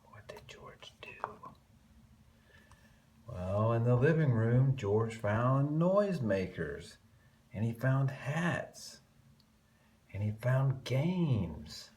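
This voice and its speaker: A man with a mid-pitched voice reads a children's story aloud at an unhurried pace. His delivery is very lively, with drawn-out emphasis on key words and chatty asides to the young listeners. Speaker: children's picture-book reader.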